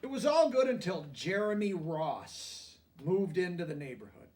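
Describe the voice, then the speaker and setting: High-voiced man reading a children's story. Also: far from the mic. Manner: explains asides simply to young listeners. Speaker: storybook reader. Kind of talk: children's picture book read-aloud, expressive narration.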